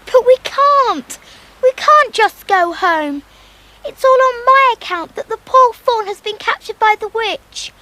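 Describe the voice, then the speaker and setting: A woman's voice: extremely high pitch, animated, unhurried, sharp tone, exaggerated voice, poor audio. An actress playing an earnest, kind-hearted little British schoolgirl in a radio drama. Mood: distressed, pleading.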